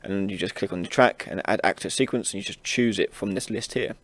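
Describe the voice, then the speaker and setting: A man hosting an informal software tutorial, casually explaining each step as he demonstrates it on a close mic. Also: lowish voice, fairly quick. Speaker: tutorial host. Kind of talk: informal screen-recorded software tutorial narration.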